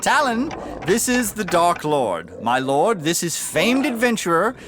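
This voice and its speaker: Prissy suck-up elf, really high voice, fantasy audio drama, noisy effects behind. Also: male voice, huge pitch swings, unhurried, full character voice. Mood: officious. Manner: fawning to superiors, prissy and wordy. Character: fussy, obsequious male elf museum keeper. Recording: busy sound effects behind voice.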